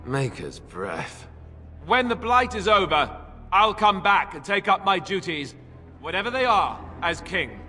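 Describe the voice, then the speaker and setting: Casting: wry, reluctant young fantasy-game prince, self-doubting, swinging lively inflection, somewhat high bright voice. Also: male voice, measured pace, heavily acted. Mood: nervous resolve. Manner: hesitant, self-deprecating, wry. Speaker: actor in a fantasy role-playing game. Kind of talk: dramatic game cutscene dialogue. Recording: long hall reverb, music underneath.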